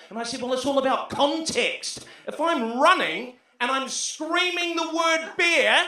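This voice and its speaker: A man in his thirties with a New Zealand accent doing a brash, sweary stand-up set into a handheld mic, shouting his punchlines. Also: very high voice. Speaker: stand-up comedian. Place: comedy club stage, brick wall. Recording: echoey club room.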